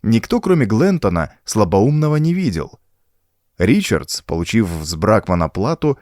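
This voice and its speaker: A man narrating a horror audiobook in an expressive, suspenseful, dramatic manner. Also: fairly low pitch, dry recording, studio quality. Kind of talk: dramatic scripted narration of a pulp horror story.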